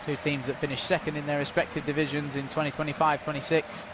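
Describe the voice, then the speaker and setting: A man giving unofficial live commentary on a football match, calmly filling a stoppage with updates and statistics. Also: fairly high pitch, noisy background. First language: not Dutch. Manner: measured, informative, filling time with stats and updates.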